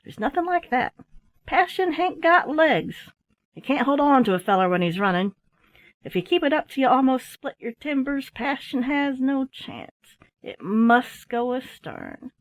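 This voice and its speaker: A woman voicing a rough but kindly male sailor in his early thirties, full of bluff, hearty seafaring talk in a storybook reading. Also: sits high, big pitch swings, unhurried, no echo, pitched above the performer's own voice. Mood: advising.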